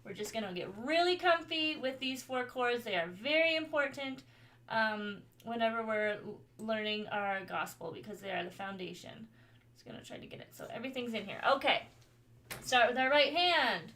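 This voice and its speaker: A woman's voice: lively intonation, normal speed, fairly bright tone. Chatty woman teaching gospel piano. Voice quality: higher voice. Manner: friendly, chatty, with frequent "um" and small laughs. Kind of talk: online gospel piano lesson. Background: piano playing.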